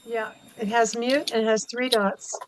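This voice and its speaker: A woman's voice: a little high, fairly even intonation, unhurried, background noise. A woman in a virtual meeting, chattily reading out menu options as she tries to find a setting. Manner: chatty, reads menu items aloud. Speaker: commission member, temporary meeting host.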